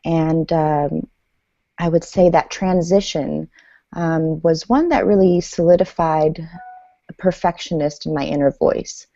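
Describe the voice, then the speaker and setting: Low gravelly woman, dull lo-fi sound. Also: mellow, no room sound. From Iran. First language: Farsi. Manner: reflective, measured, many ums. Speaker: guest author and speaker. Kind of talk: podcast interview, personal story.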